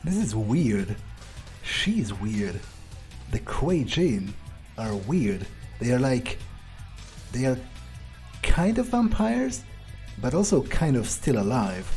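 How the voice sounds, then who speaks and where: Guarded male vampire club owner, roleplayed by a man in a video game: dry, hesitant, slow and mellow, faint music behind. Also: medium pitch, very animated. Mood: puzzled musing. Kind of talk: in-character improvised game roleplay.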